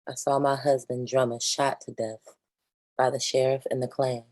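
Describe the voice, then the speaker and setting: Woman acting a very deep-voiced, resilient mother spirit. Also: flat delivery, moderate pace, a little mellow, dry recording. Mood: grave, resolute. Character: grieving yet resilient ancestor spirit, sharecropper wife and mother. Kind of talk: staged play reading, monologue.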